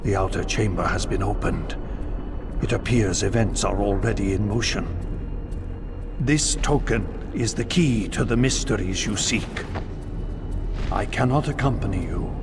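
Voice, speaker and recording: An actor playing a solemn, ancient vampire elder in a video game, speaking gravely and formally. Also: male voice, on the low side, measured pace, background noise. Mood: portentous. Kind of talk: theatrical video game acting.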